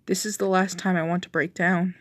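A woman's voice: fairly low pitch, little pitch movement, fairly quick, dry recording, clean studio sound. A woman narrator giving an amateur reading of a fan fiction story.

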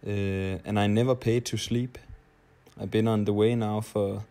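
Low, mellow Danish-accented man. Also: fairly even intonation, a little slow. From Denmark. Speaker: traveler, audio blogger. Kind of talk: personal audio blog monologue.

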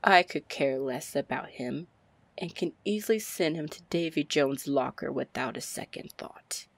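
A woman playing a confident, commanding, flirty female pirate captain in an ASMR fantasy roleplay, breathy and deliberate. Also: no room sound. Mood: menacing, dismissive. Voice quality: breathy, low, husky. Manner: slow, deliberate, smooth-talking. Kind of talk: ASMR roleplay acting, fantasy audio drama.

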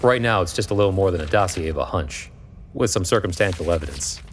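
Very deep-voiced, guarded detective. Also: male voice, lively intonation, quick pace, a little hiss or hum. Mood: uneasy, urgent. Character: wary, secretive male police detective, guarded and urgent. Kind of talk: noir audio drama acting.

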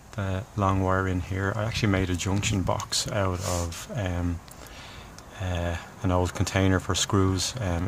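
Low-voiced, halting man. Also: slight background noise. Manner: halting, thinking aloud. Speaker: hobbyist presenter. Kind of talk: casual DIY demonstration talk.

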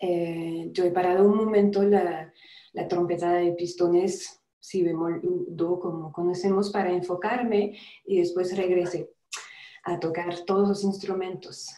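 A woman's voice: lowish voice, little pitch movement, a little slow, dark tone. A woman in her thirties from France with a French accent, lecturing on a video call, careful and searching for words. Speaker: guest lecturer, trumpeter. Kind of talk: online lecture. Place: room with brass instruments. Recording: roomy video-call audio.

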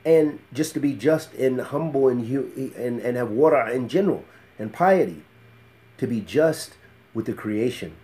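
A middle-aged man giving an informal Islamic lecture in a measured, halting, reflective way. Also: mid-range voice, animated, warm tone. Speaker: Islamic teacher. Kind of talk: informal religious lecture with Arabic phrases and quotations. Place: small book-lined study indoors.

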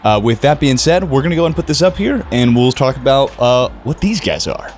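A man's voice: medium pitch, very animated, brisk, music in the background. A young American man in his twenties presenting a product setup tutorial in a casual but scripted way, close to the microphone.

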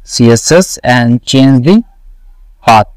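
A man walking through a coding tutorial, slowly repeating each step and answering his own questions. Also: medium pitch, animated, a little mellow, dry recording, low-quality recording. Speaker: web development tutor. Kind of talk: screen-recorded coding tutorial.

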